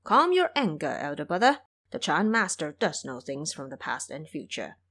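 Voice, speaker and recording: A woman's voice: sits low, very animated, moderate pace, fairly bright tone, breathy edge, pitched below the performer's own voice, heavily acted. Woman as a placating pig demon disciple.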